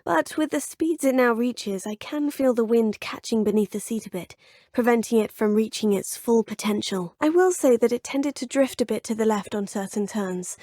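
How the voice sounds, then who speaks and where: A woman's voice: medium pitch, a little mellow, no room sound, natural voice. An actress voicing a polite, composed young female student witch with a British accent, speaking game dialogue close to a studio mic. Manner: polite, proper and well-spoken. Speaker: player character, student. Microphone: close studio mic, dry.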